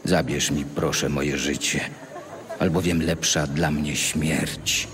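A man's voice: very deep voice, fairly even intonation, takes it slowly, noisy background, full character voice. A man playing a bitter, weary prophet in an audio Bible drama, muttering breathily in prayer. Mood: bitter pleading. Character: Jonah, bitter, weary male Hebrew prophet.

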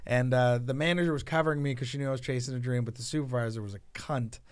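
A man from the Bay Area, co-hosting a podcast in a small studio, rambling quickly through a story. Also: medium pitch, fairly even intonation, smooth. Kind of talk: casual podcast chat. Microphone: boom mic on arm.

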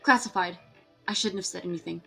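A woman playing a calm, formal scientist doctor in an audio drama, courteous but guarded. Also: fairly low pitch, gravelly, faint music behind. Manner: measured, formal. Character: calm, courteous female dinosaur-breeding doctor, official and guarded.

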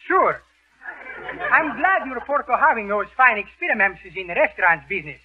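High-pitched Greek restaurateur, mangled English. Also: male voice, sing-song, a little fast, a crowd in the background. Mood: genial, garbled. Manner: garbled grammar, malapropisms. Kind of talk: 1930s radio comedy acting. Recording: muffled, phone-like 1930s radio recording.

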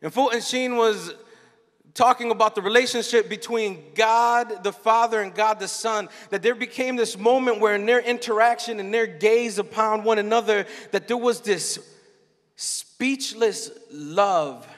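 Animated man preaching on a hall stage. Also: high voice, unhurried. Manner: animated, storytelling, acts out quotes. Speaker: keynote speaker. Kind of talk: youth conference keynote talk. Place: large dark arena stage before a young crowd. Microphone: handheld mic. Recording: long hall reverb.

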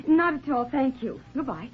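A practical, direct young fiancée in a 1940s radio play. Her voice is high and poised, on a tinny old recording. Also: expressive, moderate pace, a little hiss or hum. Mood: businesslike. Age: young adult. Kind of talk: 1940s radio drama acting.

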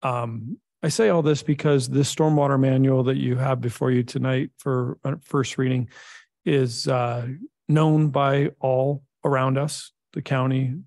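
Man, middle-aged, gravelly voice, dry close sound, measured technical briefing, filler pauses, council chamber desk mic. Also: mid-range voice, fairly even intonation, a little mellow, no echo. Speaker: public works director. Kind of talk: formal council presentation.

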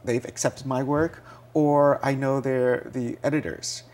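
Soft-spoken man in his thirties, guest poet. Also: mid-range voice, unhurried. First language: Vietnamese. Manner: relaxed, thoughtful, many fillers. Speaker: poet and editor. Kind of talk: TV interview guest. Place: small TV studio set. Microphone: lapel mic.

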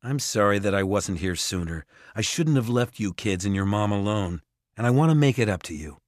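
Man playing a coaxing, low-voiced father. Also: lively intonation, a little fast, no room sound, clean studio sound, close to an everyday voice. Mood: apologetic. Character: falsely loving father, coaxing and apologetic.

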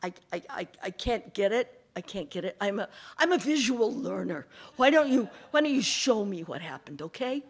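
A woman's voice: mid-range voice, normal speed, few pauses, natural voice. A woman doing a sly, smug fox. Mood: feigned puzzlement. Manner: feigns confusion, then coolly scolds. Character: smug sly male fox, calm and cunning.

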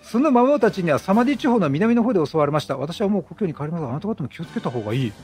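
男性が演じる高めの声の気落ちした吟遊詩人. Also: male voice, high-pitched, very animated, racing, a little mellow. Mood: dejected. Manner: formal, courteous storytelling. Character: dejected, polite wandering minstrel. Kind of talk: game dialogue read aloud by a player.

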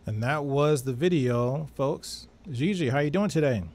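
A man's voice: on the high side, moderate pace, a little mellow, faint music behind. A middle-aged man co-hosting a show, casually interviewing a guest on a studio microphone. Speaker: podcast and radio co-host. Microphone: close studio microphone with headphones.

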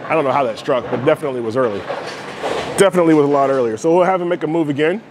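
A man's voice: fairly high pitch, racing, heavy background noise. A man giving casual, explanatory commentary on a bowling ball test in a bowling alley, often checking in with "right?". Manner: explanatory, many fillers and "right?" asides. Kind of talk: casual product demo commentary.

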